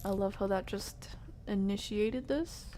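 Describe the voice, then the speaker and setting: A woman's voice: medium pitch, a little slow, warm tone, slight background noise. A woman streamer giving casual live gameplay commentary, chatting to herself and her viewers in slangy asides. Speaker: video game streamer.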